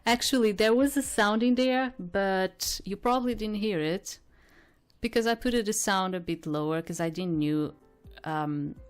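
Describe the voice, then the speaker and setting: Female gaming host, lowish voice, leisurely pace, casual rambling asides. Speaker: gaming host playing a visual novel. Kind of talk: let's play, reading game text aloud with casual commentary.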